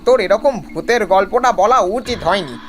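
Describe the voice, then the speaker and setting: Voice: mid-range voice, lively intonation, a little fast, a bit bright, natural voice. An actor's sarcastic young man, noisy background. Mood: reproachful. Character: sarcastic, practical young man, travelling friend. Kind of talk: animated horror cartoon dialogue.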